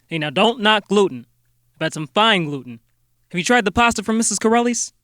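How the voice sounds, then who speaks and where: An actor playing an earnest, polite small-town amateur detective who talks in a halting, folksy way, in a comic radio-drama style. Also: male voice, sits high, animated, sharp tone, no room sound. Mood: easygoing.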